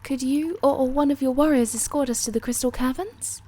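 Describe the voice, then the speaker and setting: Woman voicing a high, breathy, timid moth girl. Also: a little fast, warm tone. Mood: nervous, hopeful. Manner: hesitant, stammering, polite. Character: small, timid, sweet, protective female moth girl. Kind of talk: ASMR fantasy roleplay acting.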